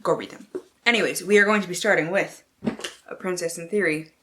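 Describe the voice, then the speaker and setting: A woman's voice: on the low side, fairly quick, background noise. Theatrical, sweary young-sounding woman book vlogger. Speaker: book vlogger, channel host. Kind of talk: casual chat to camera with playful dramatic book-blurb readings.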